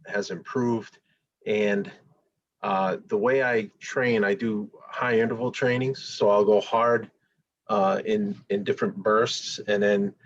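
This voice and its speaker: A man in his forties, an endurance athlete, talking haltingly with many pauses and fillers. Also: on the low side. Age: 40s. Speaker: endurance athlete giving a testimonial. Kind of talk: testimonial interview. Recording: dull lo-fi recording.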